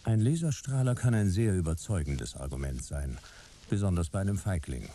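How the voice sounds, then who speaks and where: An actor playing the wry male narrator of a detective radio drama, delivering scripted lines in a dry, clipped, deadpan way. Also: low voice, moderate pace, slight background noise.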